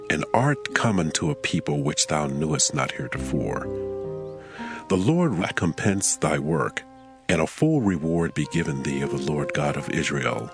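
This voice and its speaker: A man acts as a kindly lord of the fields in a dramatized scripture reading. His low voice swings widely in melody while staying solemn and formal, with clear music underneath. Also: exaggerated voice. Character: Boaz, kindly dignified landowner of ancient Bethlehem.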